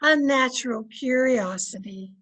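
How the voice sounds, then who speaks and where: A woman acting a sensible middle-aged wife from an old-time radio comedy. Her voice is high and unhurried, with a measured, dryly teasing delivery. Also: a bit bright, a little hiss or hum. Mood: measured, wry.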